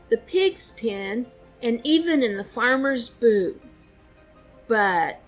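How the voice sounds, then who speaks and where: Slow-paced woman reading a children's story. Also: a little high, low-quality recording. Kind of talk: expressive read-aloud narration. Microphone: close studio microphone. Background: faint music.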